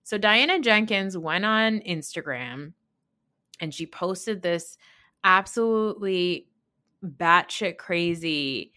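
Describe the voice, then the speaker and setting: A woman's voice: on the low side, expressive, slow, sharp tone. A woman in her thirties hosting a podcast, giving casual, blunt and opinionated reality TV commentary with plenty of swearing and emphatic retelling. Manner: emphatic, mimics others when quoting. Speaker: podcast host.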